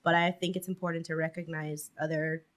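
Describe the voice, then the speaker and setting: A woman's voice: sits low, level tone, brisk. A young woman hosting a casual chat about church practice, asking questions and laughing often. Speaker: podcast host. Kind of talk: casual religious discussion.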